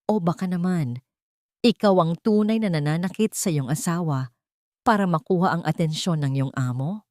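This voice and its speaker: A woman voicing a hostile male lawyer in an audio drama, forceful, formal and full of accusing insinuation. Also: fairly low pitch, normal speed, no echo, clean studio sound, close to an everyday voice. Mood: scornful, accusing. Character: hostile male defense lawyer, sneering, accusatory courtroom attacker.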